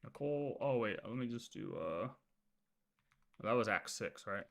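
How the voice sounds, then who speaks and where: A man's voice: on the low side, expressive, unhurried, creaky, fairly bright tone. A man chatting casually on a game livestream, arguing his points insistently and repeating himself. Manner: argumentative, repeats points, swears. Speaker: game streamer. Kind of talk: livestream reaction, casual chat.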